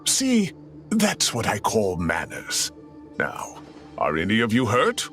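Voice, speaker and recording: An impatient male professor in a video game, speaking in a very animated, swooping voice. He is fussy and sarcastic, yet caring, with faint game music underneath. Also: fairly low pitch, slow, full character voice.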